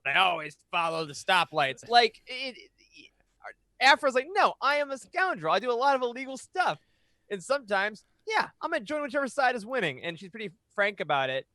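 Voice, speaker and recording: An American man chatting casually on a roundtable podcast in a jokey, animated way, acting out quotes. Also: extremely high pitch, brisk, no room sound. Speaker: podcast panelist. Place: home studio, remote video call. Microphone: close studio mic on boom arm.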